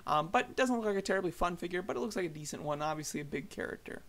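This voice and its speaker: A slightly hoarse man giving casual, rambling voice-over thoughts on collectible figures, with many fillers and restarts. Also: high voice, expressive, racing, a bit bright. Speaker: toy collector vlogger.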